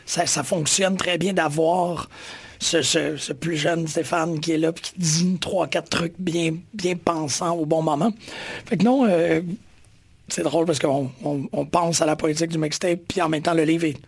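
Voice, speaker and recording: A man with a Quebec French accent interviewing an author, eager and quick, often finishing the guest's sentences. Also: on the high side, steady tone, gravelly, husky, no echo. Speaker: interviewer, host. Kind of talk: casual interview chat.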